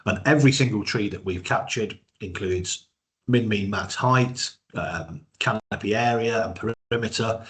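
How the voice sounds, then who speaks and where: A man with a cold and a croaky voice, giving a webinar presentation with many hesitations and repeated words. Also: low-pitched, fairly bright tone.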